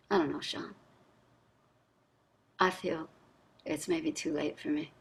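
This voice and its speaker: An actress playing a privileged, jaded middle-aged wife in a staged play reading, speaking in a theatrical, effusive way. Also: female voice, fairly low pitch, a bit bright, husky, full character voice. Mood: resigned. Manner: theatrical, effusive, drawling endearments. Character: wealthy, jaded, privileged wife on a dystopian luxury ship.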